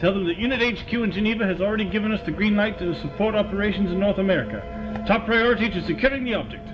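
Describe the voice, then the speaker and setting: Man playing brisk colonel, high animated voice. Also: lo-fi sound, over music. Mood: brisk, commanding. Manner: clipped, authoritative. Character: brisk, exasperated male military colonel in command. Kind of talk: audio drama acting.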